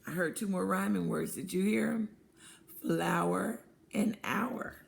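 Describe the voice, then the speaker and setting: Female children's storyteller. Unhurried, gravelly edge, expressive, interactive read-aloud delivery. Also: medium pitch, a little hiss or hum. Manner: deliberate, expressive, pauses to point out rhymes and prompt listeners.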